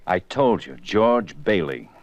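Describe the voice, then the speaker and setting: A man's voice: low voice, big pitch swings, slow, full character voice. A man playing a businesslike, persistent police detective in a 1950s radio drama, asking terse, probing questions. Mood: impatient. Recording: muffled 1950 radio recording.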